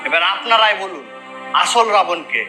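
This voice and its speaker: A man's voice: high voice, expressive, normal speed. A man playing a theatrical, mock-moralising storyteller addressing the audience in a comic monologue. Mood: mock solemn. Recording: echoey voice over music.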